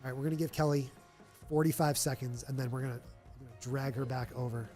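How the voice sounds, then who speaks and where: A man's voice: medium pitch, flat delivery, fast, darkish tone, soft background music. A man with a nasal voice hosting a live charity stream, chatting upbeat to fill time. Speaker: livestream host. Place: stadium event room.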